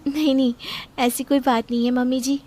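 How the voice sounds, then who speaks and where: A woman puts on an earnest young bride-to-be for a dramatized story. The voice is pitched high, gentle and polite, yet questioning and firm. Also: flat delivery, normal speed, dry recording, faint music behind. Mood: polite, hesitant. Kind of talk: acted Hindi audio story.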